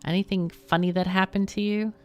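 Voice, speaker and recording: A woman's voice: mid-range voice, fairly even intonation, brisk, dull audio. A woman hosting a casual gaming livestream, chatty and playful as she comments on the game and puts questions to her guests. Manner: chatty, playful, laughs often. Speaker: livestream host and gamer.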